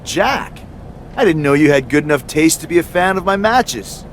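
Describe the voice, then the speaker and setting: Cocky, higher-pitched male wrestler, voiced by an actor. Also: lively intonation, normal speed, slight background noise, close to an everyday voice. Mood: sarcastic, mocking. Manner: smug, sarcastic. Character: cocky, self-entitled male pro wrestler, scheming and sarcastic. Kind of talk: scripted video game cutscene acting.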